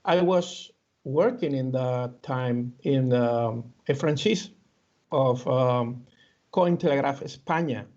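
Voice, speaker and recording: A man from Cuba with a Cuban Spanish accent, answering interview questions haltingly with many fillers and restarts; Spanish is his first language. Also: mid-range voice, a little mellow. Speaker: interview guest. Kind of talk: hesitant conversational interview answers. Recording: dull lo-fi audio.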